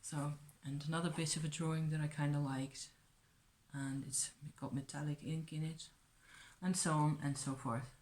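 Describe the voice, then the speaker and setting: Hesitant, rambling woman, lowish voice. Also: level tone, normal speed, darkish tone, a little hiss or hum. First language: Dutch. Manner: rambling, hesitant, many fillers and restarts. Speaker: vlogger. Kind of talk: casual rambling chat to camera.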